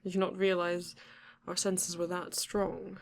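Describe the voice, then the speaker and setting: A woman playing a flirty, courteous vampire in an ASMR romance roleplay, breathy and often dropping to a whisper, with genteel, teasing phrasing. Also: medium pitch, level tone. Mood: amused, chiding. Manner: slow, genteel, teasing, old-fashioned wording. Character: flirty, courteous vampire living alone in a castle.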